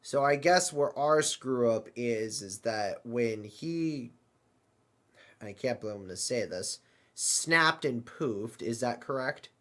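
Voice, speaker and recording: A man hosting an improvised comedy skit, talking in a halting, bemused manner. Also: mid-range voice, animated, fairly bright tone. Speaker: host of a comedy web series.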